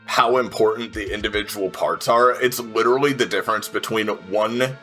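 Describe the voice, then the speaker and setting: A man playing a tabletop game, chatting casually and thinking aloud in halting, repeated phrases with casual swearing. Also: lowish voice, sharp tone. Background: faint music.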